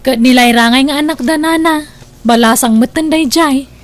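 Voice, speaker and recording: Lady doing girlish young daughter in a radio play, high voice, nosy and coaxing. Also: moderate pace, lightly acted. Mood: gossipy. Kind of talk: audio drama acting.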